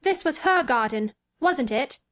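Woman as an imperious high-voiced young boy. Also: expressive, measured pace, fairly bright tone. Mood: curious. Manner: grand, commanding. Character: proud, imperious sickly boy giving orders.

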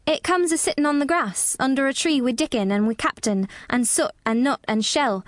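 A woman's voice: high-pitched, moderate pace, no echo, studio quality, pitched above the performer's own voice, lightly acted. A woman voicing a spirited young girl who puts on a Yorkshire accent. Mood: playful. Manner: proud, playful put-on dialect.

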